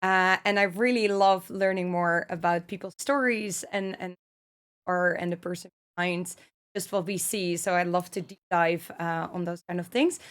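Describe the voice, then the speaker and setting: A woman's voice: fairly low pitch, steady tone, normal speed, fairly bright tone. A woman hosting a casual podcast chat, not a native English speaker, friendly and giggly with fillers. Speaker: podcast host.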